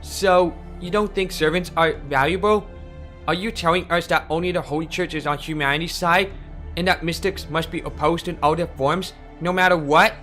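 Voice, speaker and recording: A man plays a young game hero with a bright, tense voice pitched fairly high. The delivery is earnest, questioning and wary, in dramatic fan dub style over background music. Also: steady tone, moderate pace. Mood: wary, challenging.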